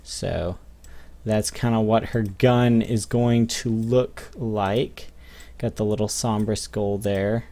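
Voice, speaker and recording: A man's voice: fairly low pitch, fairly even intonation, takes it slowly, mellow. A young man hosting a gaming video with casual, off-the-cuff commentary.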